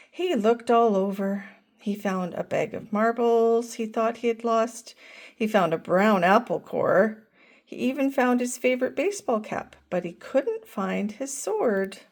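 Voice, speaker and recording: An older woman reading a picture book aloud to children, gently asking them questions along the way. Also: fairly high pitch, expressive, unhurried. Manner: gentle, asks listeners questions. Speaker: storyteller grandmother.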